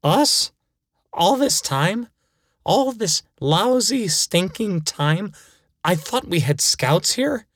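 A man voicing an outraged boy ballplayer, shouting high exclamations in a comic story. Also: very animated, takes it slowly, no room sound, clean studio sound, full character voice.

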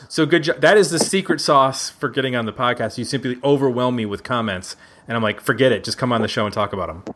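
Male podcast host, mid-pitched, chatty, jokey, self-correcting, casual round-table talk. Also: brisk, a bit bright. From Buffalo, United States. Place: indoors at a neighbor's house.

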